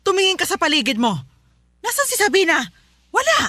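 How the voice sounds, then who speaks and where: A woman's voice: very high-pitched, sing-song, brisk, pushed and bright, dry recording, studio quality, exaggerated voice. A woman playing a worried, firm, pleading Filipino wife and mother in a radio drama, coaxing and insistent.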